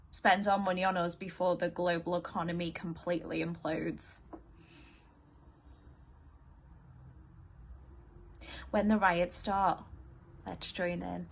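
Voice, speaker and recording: A woman performing a dramatic stage monologue as a distraught, bitter, grieving partner in a couple, husky, halting and raw. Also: lowish voice, a bit bright, slight background noise, heavily acted. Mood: bitter, reckless.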